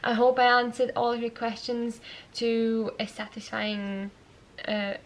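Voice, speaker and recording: An Austrian woman with a Yorkshire-tinged English accent, answering viewer questions in a casual vlog, talking thoughtfully with fillers and soft laughs. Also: on the high side, completely flat delivery, unhurried, slight background noise, poor audio. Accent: Yorkshire-tinged English picked up as an Austrian speaker. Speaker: knitting vlogger.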